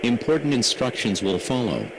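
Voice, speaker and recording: A man reading a scripted emergency broadcast announcement in a formal, measured, impersonal manner. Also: medium pitch, huge pitch swings, gravelly. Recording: noisy emergency radio broadcast audio.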